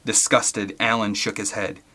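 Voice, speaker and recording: A man's voice: lowish voice, little pitch movement, measured pace, bright, forceful tone. A man reading an adventure story aloud as scripted, dramatic audiobook narration. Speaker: audiobook reader.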